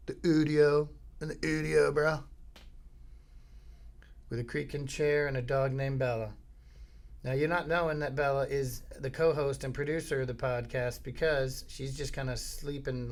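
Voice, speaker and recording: A middle-aged man from Florida hosting his own podcast at home, talking in a rambling, jokey way and breaking into silly bits. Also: medium pitch. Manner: jokey, self-interrupting, breaks into goofy sung bits and impressions. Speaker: podcast host. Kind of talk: rambling comedic monologue. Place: home studio.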